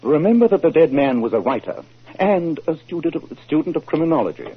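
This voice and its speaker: Casting: an incisive English sleuth, husky and widely inflected, deliberate delivery, vintage radio sound. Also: male voice, on the high side, sing-song. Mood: explaining, assured. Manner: deliberate, authoritative, deductive. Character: shrewd, self-assured male English detective, deliberate and incisive. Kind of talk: 1940s radio drama acting. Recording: muffled 1940s radio broadcast recording.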